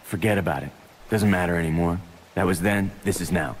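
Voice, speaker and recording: A man's voice: low-pitched, brisk, slight background noise. A man with an American accent playing a brooding, bitter young ex-Marine convict, terse and guarded, in a video game. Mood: dismissive, resigned. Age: young adult. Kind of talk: dramatic video game cutscene acting.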